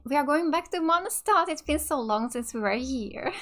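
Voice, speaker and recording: High, bright-voiced woman gaming host. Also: lively intonation, normal speed. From Finland. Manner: chatty, enthusiastic about the game. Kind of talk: casual let's play commentary.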